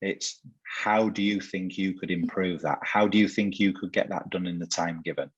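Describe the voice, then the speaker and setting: Low husky guy hosting a chat, earnest, keeps repeating words as he goes. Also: steady tone, normal speed, dull audio. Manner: earnest, repeats words while building a point. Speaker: host. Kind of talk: conversational interview.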